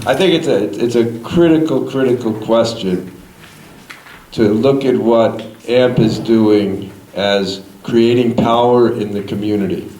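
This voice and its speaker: A man's voice: mid-range voice, unhurried, mellow. Earnest man speaking in an echoey hall. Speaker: magazine panelist. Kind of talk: panel discussion. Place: public event hall. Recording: echoey room, faint noise.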